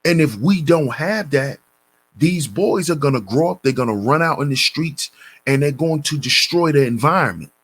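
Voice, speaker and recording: A man hosting a commentary channel, reading a news story and lecturing on it in a deliberate, preachy way, calling his listeners brothers. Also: fairly high pitch, lively intonation, fairly bright tone, breathy edge.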